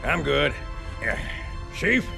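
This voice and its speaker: A man playing a gruff marine sergeant in a video game, blunt and military, with a gravelly voice. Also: on the high side, sing-song, takes it slowly, music in the background, full character voice. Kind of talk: video game cutscene acting.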